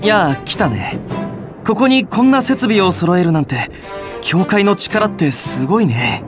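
Earnest, lively young alchemist. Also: male voice, sits high, measured pace, music in the background. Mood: friendly, explanatory. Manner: friendly, patiently explanatory. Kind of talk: Japanese video game voice acting.

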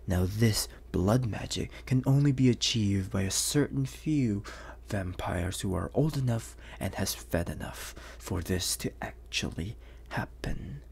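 A man voicing a suave, menacing, flirtatious vampire bounty hunter in an ASMR roleplay, teasing in breathy half-whispers with lingering pauses. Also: on the low side, animated, darkish tone, exaggerated voice. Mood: coaxing, explanatory. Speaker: voice actor.

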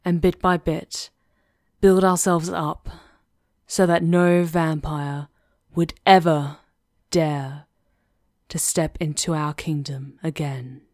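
A woman acting a composed, sly witch conspirator with a measured, knowing manner in an ASMR fantasy roleplay. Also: low voice, fairly even intonation, a little mellow, no echo, studio quality. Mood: solemn resolve. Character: composed, sly female witch conspirator plotting against a countess.

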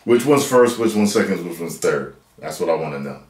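An American man in his thirties giving casual, playful reaction commentary as a video host, loud and repeating his phrases. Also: deep voice, expressive, a little fast. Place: small room at home, indoors. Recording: roomy, echoey home recording.

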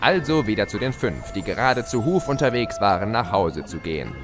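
A man's voice: lowish voice, sing-song, a little fast, music in the background. An actor playing a gleeful chaos spirit, a theatrical, mocking villain who narrates his own tale in an audio drama. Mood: smug storytelling.

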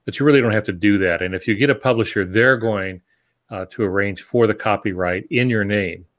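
Older man with a low voice. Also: a little fast, a bit bright. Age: 60s. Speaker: podcast co-host and published author. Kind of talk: casual explanatory advice. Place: home office, indoors. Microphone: earbud headset on video call.

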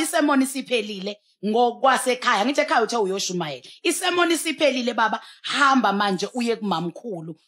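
A woman's voice: high voice, fairly bright tone. A South African woman speaking as a pastor on a studio talk show, emphatic and exhorting, mixing in English. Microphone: headset.

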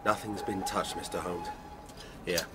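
An actor playing a stolid, matter-of-fact police constable in a radio drama. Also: male voice, low voice, fairly even intonation, a little slow, heavy background noise. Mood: businesslike. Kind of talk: BBC radio drama acting.

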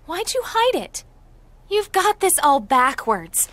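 Bossy, high-pitched teenage girl. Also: big pitch swings, slow, a bit bright, exaggerated voice. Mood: reproachful. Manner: assertive, scolding. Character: bossy, feisty, caring teenage girl companion. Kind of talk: video game cutscene acting.

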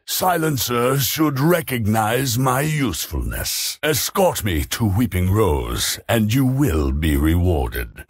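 An actor playing an arrogant, bloodthirsty demonic male sorcerer in a video game, with a slow, threatening, boastful delivery. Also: exaggerated voice. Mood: scheming. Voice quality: deep gravelly menacing growl.

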